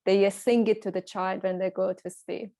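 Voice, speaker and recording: A woman's voice: medium pitch, fairly even intonation, moderate pace, mellow. A woman with a Persian accent hosting a conversational interview, hesitant with many fillers.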